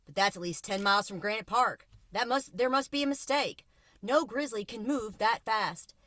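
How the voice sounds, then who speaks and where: A woman's voice: a little high, normal speed, bright, forceful tone, no echo. Woman reading as a firm, worried father. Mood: disbelieving.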